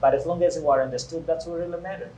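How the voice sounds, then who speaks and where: A young man in his twenties speaks English as a native Portuguese speaker, earnest and hesitant, often restarting his sentences. His voice sits a little high for a man. The room is echoey, with faint background noise. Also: rapid-fire, warm tone. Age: 20s. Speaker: guest. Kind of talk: interview answers.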